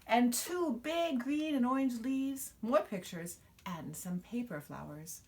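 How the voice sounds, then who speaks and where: Female storybook reader, expressive and lively in pitch, taking her time over the words. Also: takes it slowly, a little hiss or hum. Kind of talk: children's picture book read-aloud.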